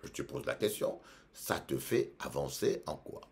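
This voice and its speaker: A Congolese-born bishop preaching in French with a Congolese accent, emphatic, repeating phrases and shifting between loud exhortation and quiet asides. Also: male voice, rumbling low, lively intonation, moderate pace. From Democratic Republic of the Congo. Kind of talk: preaching sermon.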